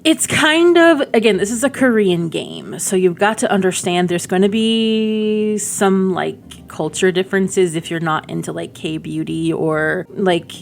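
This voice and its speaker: Female gaming creator, lowish voice, informal rambling commentary, conversational and unscripted. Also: a little slow, vocal fry, hardly any pauses. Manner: chatty, rambling, informal, frequent "like" asides. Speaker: gaming video creator and host. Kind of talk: casual gameplay commentary.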